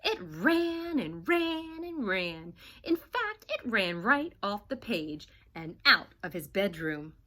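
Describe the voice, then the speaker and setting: Teacher reading a picture book aloud, woman, bright and very animated. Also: mid-range voice, takes it slowly. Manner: warm, expressive teacher voice.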